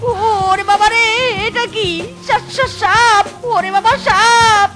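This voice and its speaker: A lazy, henpecked cartoon husband in an extremely high voice. He whines and pleads with comic melodrama. Music is clearly heard behind. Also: steady tone, takes it slowly. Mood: panicked wailing. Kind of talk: exaggerated comic cartoon dubbing.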